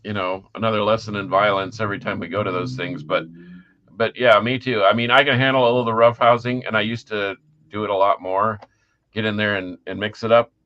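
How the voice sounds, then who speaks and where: A middle-aged man with a lowish, forceful voice talks music as a podcast co-host. He is enthusiastic and slangy, in casual conversation. The audio is a little dull. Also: fairly even intonation, a little fast, a little hiss or hum.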